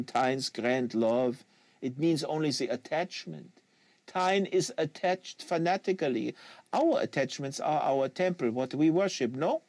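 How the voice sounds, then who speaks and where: Novel reading: man plays solemn Quebecois fanatic, lecturing, earnest, highish and very lively in pitch. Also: no echo, heavily acted. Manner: solemn, rhetorical, foreign phrasing. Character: earnest, lecturing French-Canadian male assassin in a wheelchair.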